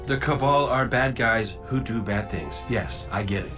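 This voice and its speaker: An actor playing a cocky, wisecracking robot gunslinger in a video game cutscene, talking in a flippant, theatrical ramble. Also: male voice, medium pitch, expressive, normal speed, music in the background, close to an everyday voice. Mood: wry, subdued.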